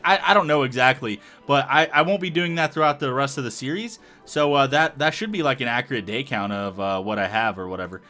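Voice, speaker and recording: A young man talking through a modded Minecraft session in a bright, slightly raised voice that swings lively up and down. He rambles and thinks aloud with plenty of fillers, sounding a touch hoarse, over faint music. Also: fairly high pitch. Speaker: Minecraft let's play host. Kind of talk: casual gameplay commentary.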